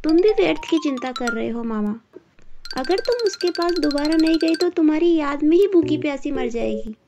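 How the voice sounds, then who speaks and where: High-pitched, coaxing sly jackal, voiced by a woman. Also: expressive, moderate pace. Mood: wheedling. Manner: coaxing, wheedling. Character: sly, flattering male jackal, a scheming servant. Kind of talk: animated animal fable dialogue. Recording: music behind the voice.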